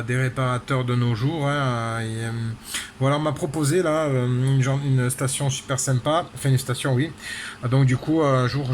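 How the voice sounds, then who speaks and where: Man, casual radio chat, medium pitch, lots of tag words, noisy background. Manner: chatty, frequent tag words. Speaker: amateur radio operator. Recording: clear background noise.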